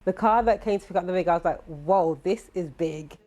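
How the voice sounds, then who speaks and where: A woman, the braider's daughter, telling her part excitedly and laughing. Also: lowish voice, brisk.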